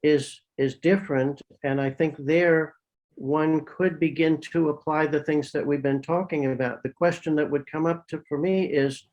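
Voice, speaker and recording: Higher voiced guy on a video call, talks therapy slow and careful, lots of restarts. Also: fairly even intonation, darkish tone, highs cut off. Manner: thoughtful, hesitant, repeats words. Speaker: psychiatrist and psychotherapy author. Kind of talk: answering questions in an online talk.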